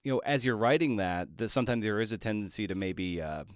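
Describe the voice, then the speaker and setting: A man's voice: on the low side, expressive, fast, smooth, no echo, studio quality. A man hosting a conversational interview, feeling his way toward questions in a tentative, roundabout manner. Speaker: podcast host, interviewer.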